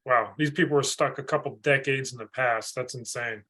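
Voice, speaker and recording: This is a man co-hosting a podcast, asking the guest questions in a relaxed, casual tone. His voice is at a middle pitch, and he easily slips "man" into what he says. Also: moderate pace. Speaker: podcast co-host.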